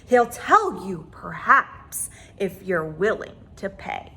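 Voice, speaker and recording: A woman reading a children's story aloud in an expressive, dramatic way, drawing out words for emphasis. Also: mid-range voice, slow.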